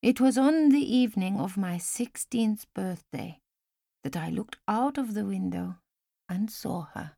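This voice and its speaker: A woman voicing a frail elderly woman who speaks formally and with gentle insistence, in an audiobook story. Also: medium pitch, lively intonation, gravelly, no room sound, studio quality. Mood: reminiscing. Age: older. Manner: measured, formal, gently insistent speech with few contractions.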